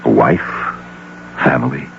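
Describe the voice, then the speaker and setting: An actor playing an earnest, lovestruck middle-aged businessman in a radio drama, halting and emphatic, with a gravelly American voice. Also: extremely low pitch, a bit bright, exaggerated voice. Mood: earnest, wooing. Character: earnest, lovestruck wealthy businessman, awkward yet insistent suitor. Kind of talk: old-time radio drama acting. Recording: dull hissy radio drama recording with hum.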